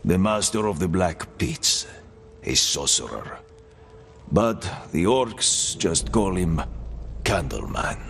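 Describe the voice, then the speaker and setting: An actor playing a weary, defiant old slave king in a video game, measured and persuasive. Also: low-pitched, expressive, vocal fry, a bit bright, slight background noise, heavily acted. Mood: wry, knowing. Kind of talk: dramatic game acting.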